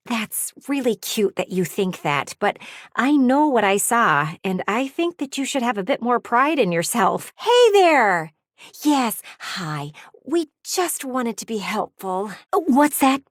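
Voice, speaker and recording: A woman's voice: a little high, no echo. Prim nosy game lady, super swoopy and dramatic, gets huffy and condescending, dry close sound. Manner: theatrical, gushing, indignant, condescending. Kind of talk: animated video game character acting.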